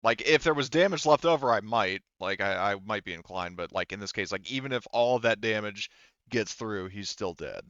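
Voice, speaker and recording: Male game master, smooth lowish voice, halting think-aloud delivery at the table, dry but slightly dull lo-fi audio. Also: lively intonation, a bit bright, dry recording. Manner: halting, thinking aloud while working through rules and dice. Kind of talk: running a tabletop role-playing game, casual chat.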